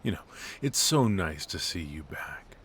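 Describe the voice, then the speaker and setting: A male voice actor plays a ruthless, suave mafia boss in an intimate noir roleplay. His voice sits very low and he takes his time, leaving pauses between short phrases. He is smooth-talking, teasing and menacing. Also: sing-song, fairly bright tone, full character voice. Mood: teasing, smug.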